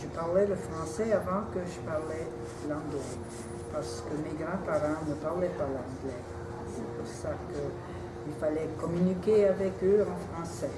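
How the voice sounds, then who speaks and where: A woman from a bilingual family, speaking in an unhurried way in an oral history interview and listing things one by one. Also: deep voice. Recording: distant, roomy, with loud background noise.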